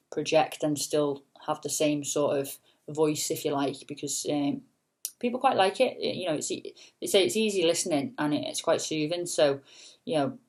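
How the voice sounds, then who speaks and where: A woman and singer with a soft, low voice, rambling casually in a late-night vlog with lots of fillers and the odd swear word. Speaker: singer and vlogger.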